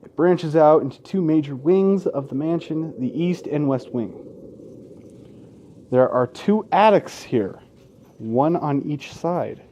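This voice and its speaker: A man's voice: a little high, animated, slow, warm tone, lo-fi sound, a little hiss or hum. A man hosting a let's play in an informal, sweary way, mixing casual gaming commentary and asides with game text read aloud.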